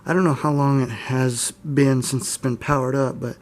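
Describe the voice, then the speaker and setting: A man's voice: mid-range voice, fairly even intonation, normal speed. A man in his early twenties giving a casual, unscripted show-and-tell as a hobbyist video host, often unsure and self-deprecating. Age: early 20s.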